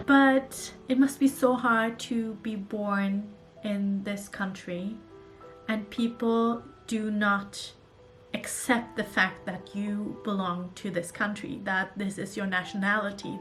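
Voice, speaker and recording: A woman from Germany with a German accent, talking earnestly and thoughtfully to camera about books in a casual vlog. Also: medium pitch. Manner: earnest, thoughtful, with small restarts. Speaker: book vlogger.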